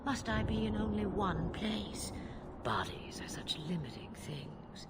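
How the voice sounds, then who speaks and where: An actress playing an ancient dragon witch in an old woman's form, with a breathy, cryptic, theatrical delivery in a video game. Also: mid-range voice, expressive, heavy background noise, lightly acted. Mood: cryptic, amused. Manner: slow, theatrical, grandly cryptic. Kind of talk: video game cutscene acting. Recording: voice under loud background music.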